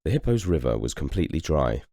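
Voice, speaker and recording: A man reading a children's story as narrator, in an expressive storytelling manner. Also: rumbling low, fast talker, creaky, no echo, clean studio sound. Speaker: storybook reader and host.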